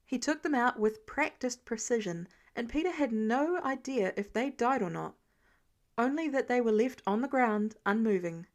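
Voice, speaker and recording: A woman narrates a piece of written fiction in a fairly high voice. She reads it as scripted storytelling, like an amateur audiobook. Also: expressive, moderate pace.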